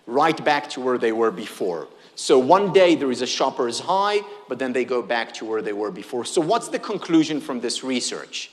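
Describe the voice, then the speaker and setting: A man's voice: mid-range voice, sing-song, moderate pace, few pauses, in a large hall. A man whose first language is Hebrew gives a conference keynote, engaging the audience with questions and humor. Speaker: keynote speaker.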